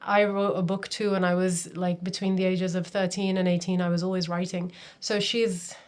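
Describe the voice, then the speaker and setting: A woman's voice: on the low side, flat delivery, normal speed, a little mellow. A woman in her thirties, from Pakistan, talking earnestly and confidingly to camera as a homeschooling coach, often stressing her points with "literally". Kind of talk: personal talking-to-camera vlog.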